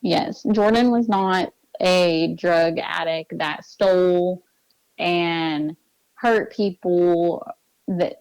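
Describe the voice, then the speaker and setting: A woman's voice: on the low side, a little mellow, no room sound, low-quality recording. A woman interview guest giving a personal account in an earnest, insistent way, repeating points for emphasis.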